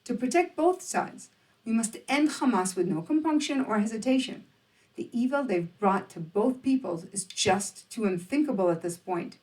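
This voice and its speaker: A woman presents scripted opinion commentary in a fairly high, very animated voice. Her delivery is emphatic and rhetorical, edged with sarcasm. She sounds slightly removed from the microphone. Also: moderate pace, distant mic, poor audio.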